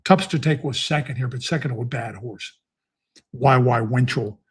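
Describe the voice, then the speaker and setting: A man's voice: mid-range voice, normal speed, slightly rough. A middle-aged man talking casually on a live stream from his basement home studio, rambling and thinking aloud with many fillers as he handicaps horse races. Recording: echoey basement room.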